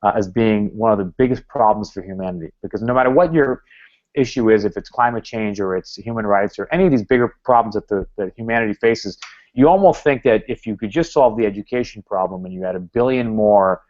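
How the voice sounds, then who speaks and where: A man speaking as a guest at a club, his voice sitting a little low. He rambles, circling back with frequent fillers and restarted phrases. The recording sounds dull and lo-fi. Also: fast, a little mellow. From Canada. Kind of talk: talk to a club.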